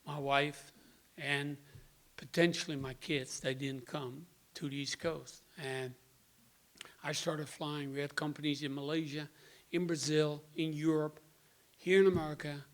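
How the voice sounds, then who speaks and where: A man in his sixties speaks with a Dutch accent, his voice sitting a little higher than most men's. He tells his story in a plain, halting way, stopping often to search for words. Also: level tone. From Netherlands. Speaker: guest speaker. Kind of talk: personal testimony.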